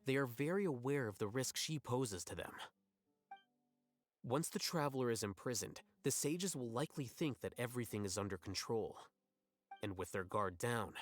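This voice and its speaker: A man playing a calm, calculating scholar in a video game, laying out plans in measured, articulate phrases. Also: mid-range voice, close to an everyday voice. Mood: composed.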